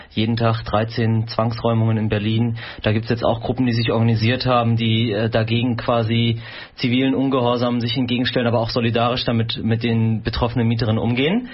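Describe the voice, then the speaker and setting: A man moderates a radio magazine, thoughtful and a little hesitant. His voice is husky. The recording sounds dull and lo-fi. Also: mid-range voice, level tone, fast talker. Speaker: radio host. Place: radio studio.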